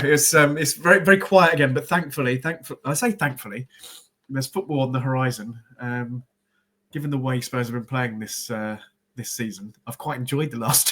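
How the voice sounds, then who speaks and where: A man's voice: mid-range voice, bright, forceful tone. A man with a cold and a congested voice, chatting in a rambling way with lots of ums on a football podcast. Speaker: podcast co-host. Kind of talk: casual football podcast chat.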